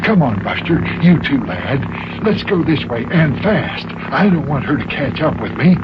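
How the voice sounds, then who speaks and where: A man's voice: on the high side, big pitch swings, normal speed. A man voicing a blustery, easily flustered old mayor in a children's radio serial, exclaiming and repeating himself in a folksy way. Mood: flustered, panicky. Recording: dull, noisy old radio recording.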